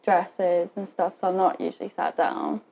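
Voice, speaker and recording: A woman's voice: fairly low pitch, animated, normal speed, vocal fry, warm tone, rough recording. A young woman in the role of a patient, answering questions briefly and informally, often saying "like". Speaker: student acting as patient being questioned.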